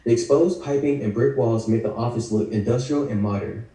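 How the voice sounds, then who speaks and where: A man's voice: mid-range voice, fairly quick, warm tone. A man narrating scripted stage directions for a table read. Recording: echoey room, distant video call mic.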